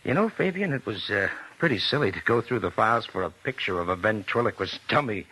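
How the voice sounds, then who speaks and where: A man playing a suspicious, insistent police detective in a 1940s radio drama, with a husky voice and clipped, accusing delivery. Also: on the low side, expressive, fairly quick, bright, forceful tone, full character voice, rough recording. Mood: deliberate, needling. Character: pressing, suspicious male police detective interrogating suspects.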